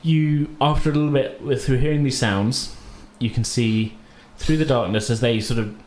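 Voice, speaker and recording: A man's voice: mid-range voice, fairly even intonation, normal speed, darkish tone. Man game master in an echoey room. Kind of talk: casual tabletop game narration and banter. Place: indoor room with other players. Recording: roomy echo, faint background noise.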